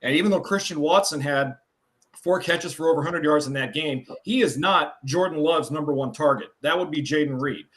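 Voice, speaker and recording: A man on a sports talk panel, explaining game stats in a steady, methodical way. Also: fairly high pitch, brisk. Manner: steady, stat-heavy explaining. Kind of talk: sports analysis.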